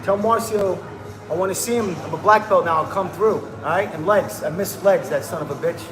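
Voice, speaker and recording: A hyped man chats with his livestream viewers in a high voice, tossing out slang and calling everyone bro. His voice bounces around an echoey room, and music plays clearly behind him. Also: brisk. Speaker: livestream host. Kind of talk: casual livestream chat.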